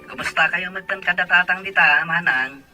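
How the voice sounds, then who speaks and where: A man's voice: sits high, normal speed, pushed and bright, faint music behind. A man acting an eager, respectful young man happily in love in an Ilocano audio drama. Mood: happy, respectful.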